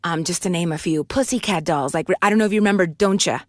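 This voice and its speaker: A woman's voice: on the low side, fast talker, no echo, studio quality. A woman in her forties from Puerto Rico, telling her life story candidly in a casual interview, with many restarts. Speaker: guest voice actor and singer.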